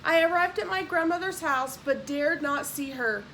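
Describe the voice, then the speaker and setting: A woman narrates a book in a clearly high voice. Her reading is expressive and slips into casual explanation. The recording has a light layer of noise. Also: moderate pace, rough recording. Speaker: audiobook narrator.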